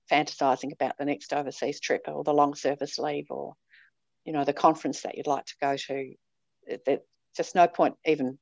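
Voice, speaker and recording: A woman's voice: sits low, darkish tone, dry recording, muffled recording. A middle-aged Australian woman, a priest, talking in a chatty, emphatic way with frequent ums. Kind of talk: casual chat.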